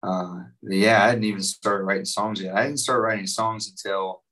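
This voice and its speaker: Low-voiced West Virginian man, flat delivery. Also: moderate pace, distant mic. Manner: rambling, many likes and you-knows. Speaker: musician guest. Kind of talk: casual interview chat.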